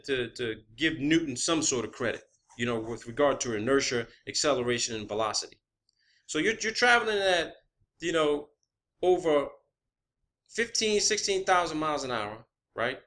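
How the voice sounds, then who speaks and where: A man's voice: high-pitched, bright, forceful tone. A man giving an improvised, emphatic and profane monologue as an amateur explainer, repeating words for stress and checking his points with questioning tags. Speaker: amateur science vlogger.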